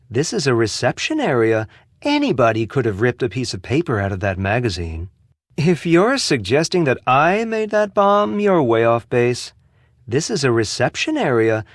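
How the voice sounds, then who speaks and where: An actor with an American accent playing a sarcastic, theatrical spa receptionist with a villainous streak in a video game. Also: male voice, medium pitch, no echo, studio quality. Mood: defensive. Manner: sarcastic, snide, theatrical delivery. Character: sardonic, theatrical male spa receptionist, secretly a bomber. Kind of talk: video game character dialogue.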